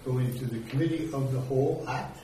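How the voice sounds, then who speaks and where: A man's voice: mid-range voice, fast, mellow. A man chairing a township council meeting, explaining procedure and the agenda item by item. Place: council chamber. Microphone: table microphone. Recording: echoey room from far off, faint noise.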